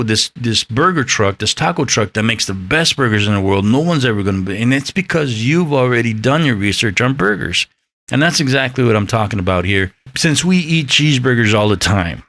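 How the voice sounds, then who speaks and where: A man's voice: fairly low pitch, fairly bright tone, no echo. A man in his fifties hosting a podcast, rambling in a casual, profane and emphatic way. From Mexican heritage. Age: 50s. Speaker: podcast host. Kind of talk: casual solo podcast talk.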